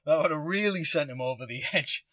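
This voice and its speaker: A man with a slightly high, bright and forceful voice tells a casual story on a podcast. He is animated and chuckly, acting out bits of dialogue as he goes. The sound is dry, with hardly any room in it. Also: fairly quick, dry recording. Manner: animated, acts out shouted lines; chuckles while talking. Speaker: podcast host. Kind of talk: casual spoken personal storytelling.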